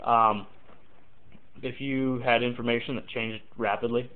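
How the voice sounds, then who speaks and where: A man giving an informal, halting tutorial, thinking aloud as he explains and demonstrates example radio calls. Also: medium pitch, level tone, smooth. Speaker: amateur CB radio tutorial maker. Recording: muffled, tinny phone-like lo-fi audio.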